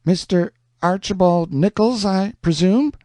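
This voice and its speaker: A man's voice: sits high, measured pace, mellow, no echo, clean studio sound, pitched above the performer's own voice. A man voicing a cheeky teenage boy, mock-innocent and stammering. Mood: polite. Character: cheeky Southern teenage boy posing as a stranger.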